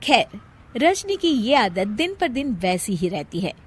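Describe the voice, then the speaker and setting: A woman's voice: on the high side, very animated, a little slow, breathy edge. A woman narrating a scripted moral story as a storyteller.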